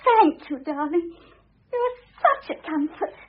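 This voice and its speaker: An actress playing a weepy, scheming wife in an old radio play, cooing and pleading melodramatically. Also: female voice, extremely high pitch, lively intonation, takes it slowly, full character voice. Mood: sweet, cajoling. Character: weepy, scheming young wife eloping with her lover. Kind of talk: classic radio play acting. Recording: muffled, narrow-band old radio recording.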